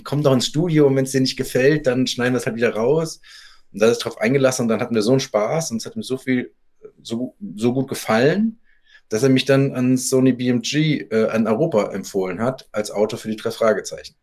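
A man with a mid-pitched voice talks as a guest in a podcast interview. He tells stories about his work as an author in a loose, conversational flow. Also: brisk, a little mellow. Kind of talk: conversational interview, anecdotal storytelling.

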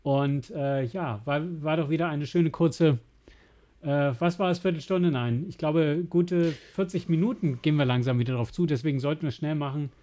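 A man's voice: fairly high pitch, moderate pace, warm tone. A man co-hosting a podcast, bantering casually in a tongue-in-cheek way. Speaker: podcast co-host.

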